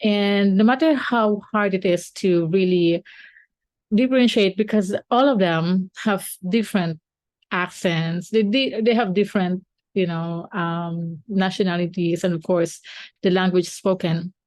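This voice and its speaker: A woman's voice: lowish voice, measured pace, darkish tone, no room sound. A woman teacher and podcast co-host who is not a native English speaker, chatting casually with many fillers and restarts.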